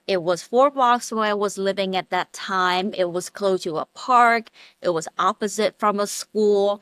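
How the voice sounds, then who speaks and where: A woman's voice: medium pitch, a bit bright, no room sound. Woman from vietnam chatting on a podcast, eager, repeats herself a lot, dry close mic. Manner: earnest, repetitive phrasing, upbeat. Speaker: real estate investor, podcast guest. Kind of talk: casual interview storytelling.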